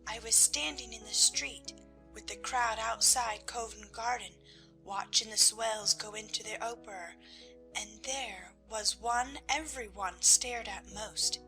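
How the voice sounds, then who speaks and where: A woman's voice: fairly high pitch, a little slow, sharp tone, faint music behind. A woman voicing a humble, frightened young scullery maid with a Cockney accent, timid and stammering, in a dramatized storybook. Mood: awed.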